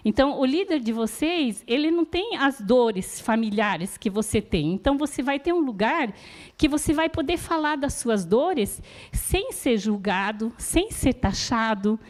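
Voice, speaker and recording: A woman's voice: on the high side, lively intonation, measured pace. A woman whose first language is not Portuguese, giving an earnest, encouraging church teaching talk about support groups and often checking in with her listeners.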